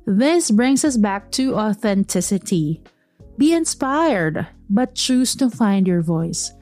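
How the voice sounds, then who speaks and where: A woman's voice: medium pitch, a little mellow. A woman with a Filipino accent, a podcast host giving tips in a direct, teasing way, mixing English and Tagalog.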